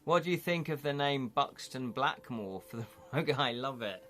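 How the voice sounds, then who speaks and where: A man's voice: mid-range voice, lively intonation, moderate pace, pushed and bright. Rambling English man hosting a live stream. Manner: rambling, chatty, thinks aloud with restarts. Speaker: livestream host. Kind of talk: casual live-stream chat, reading viewer comments.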